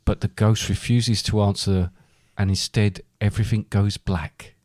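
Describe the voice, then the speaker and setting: A man's voice: fairly low pitch, level tone, a little slow, a little mellow, dry recording, clean studio sound. A man reading scripted storybook narration as the narrator of a comic tale.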